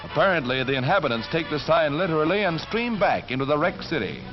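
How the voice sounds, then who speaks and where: Dull vintage soundtrack, loud music behind, American male newsreel narrator, dramatic, emphatic, scripted delivery. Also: a little high, sing-song, fast. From United States. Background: orchestral music.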